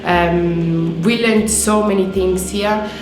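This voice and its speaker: A woman from Italy, a yoga student, giving a grateful testimonial with pauses and fillers. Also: lowish voice, fairly even intonation, echoey room, music in the background, low-quality recording.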